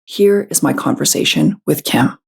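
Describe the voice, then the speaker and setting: A woman hosting a podcast in an earnest, gentle way, her voice a little hoarse from a cold. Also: low voice, lively intonation, normal speed. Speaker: podcast host. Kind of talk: podcast conversation and scripted intro.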